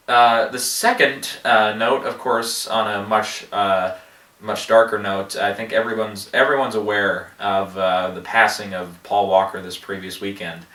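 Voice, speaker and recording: A man's voice: fairly low pitch, steady tone, pushed and bright, distant mic. Man with a head cold, echoey. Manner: halting, many fillers and restarts. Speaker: movie box office vlogger. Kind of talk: casual rambling commentary. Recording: echoey room, voice off-mic.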